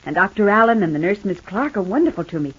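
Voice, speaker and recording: Sweet devoted wife in old radio soap, voice swoops around, bit husky, muffled vintage sound. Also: female voice, medium pitch, expressive, quick pace, a bit bright. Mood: tender, brave. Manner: tender, earnest, coaxing. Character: devoted, gentle wife recovering in hospital. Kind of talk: 1950s radio soap opera acting. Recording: dull, band-limited old radio recording.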